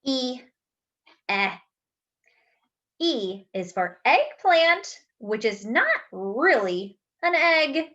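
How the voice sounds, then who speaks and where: Slow, very animated woman reading to children. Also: high-pitched, dull audio. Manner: exaggerated, deliberate enunciation with playful asides to children. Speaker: children's read-aloud host, teacher-like. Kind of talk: animated picture-book read-aloud for young children.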